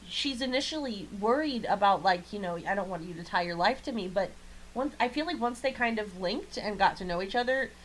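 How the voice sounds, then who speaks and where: A woman chats casually as a podcast co-host. She is blunt and jokey, swears freely, and sits at a middling pitch with some background noise behind her. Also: lively intonation, fairly quick, low-quality recording. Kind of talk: casual chat reviewing an anime.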